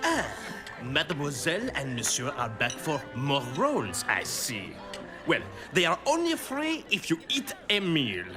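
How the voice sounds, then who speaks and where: An actor voicing a fussy, snooty, easily flustered maitre d' in a children's cartoon, theatrical and halting. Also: medium pitch, huge pitch swings. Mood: condescending. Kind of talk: animated children's cartoon voice acting. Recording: loud music behind the voice.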